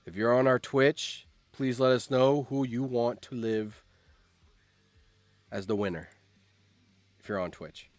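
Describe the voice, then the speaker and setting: A man hosting a live stream, reading out game events and reacting in an animated, exclamatory way with repeated phrases. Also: medium pitch, slow pace, pauses often, no echo.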